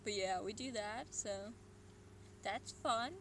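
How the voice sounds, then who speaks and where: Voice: medium pitch, slow pace, a bit bright. Rambling Swedish-accented streamer, noisy ambience. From Sweden. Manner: rambling, meandering, with muttered and whispered asides. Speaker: livestreamer. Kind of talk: casual solo stream chat.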